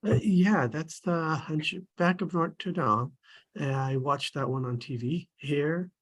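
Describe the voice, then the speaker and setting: A man's voice: a little high, takes it slowly. A man taking part in a casual script table read over a video call, talking through his hobby models in plain, easy terms.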